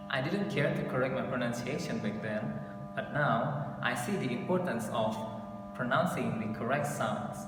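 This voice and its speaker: A young man with an Indonesian accent speaks in an earnest, steady way at an ordinary mid pitch. The camera mic sits far from him in an echoey classroom with long reverb, and background music plays clearly under his voice. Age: young adult. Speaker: English literature student. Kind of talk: class video presentation. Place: classroom, indoors. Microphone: camera mic from a few meters.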